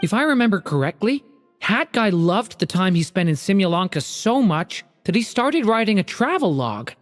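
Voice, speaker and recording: A woman voicing a gentle, earnest young dragon boy. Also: mid-range voice, very animated, normal speed, dry recording, lightly acted. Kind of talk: video game dialogue. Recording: game audio with soft music.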